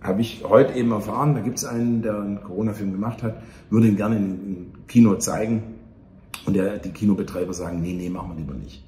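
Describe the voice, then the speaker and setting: A man in his fifties talking earnestly and haltingly in a casual interview. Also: fairly low pitch, fairly even intonation, mellow. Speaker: theatre operator, interview guest. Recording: echoey room, faint ambient noise.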